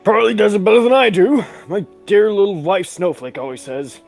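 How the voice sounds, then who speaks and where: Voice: mid-range voice, lively intonation, a little fast, vocal fry, soft background music. An actor playing a pompous, florid old ham in a 1940s-style radio comedy. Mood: theatrical commentary. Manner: florid, exclamatory, old-fashioned phrasing. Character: pompous, theatrical comic old ham actor Vitamin Flintheart.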